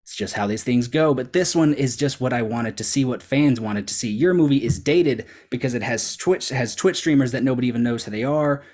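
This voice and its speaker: A man with a middling pitch makes his case in a casual debate. He is emphatic and persuasive, breaking his argument into short, punchy phrases. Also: fairly quick, few pauses, darkish tone. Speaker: debate contestant.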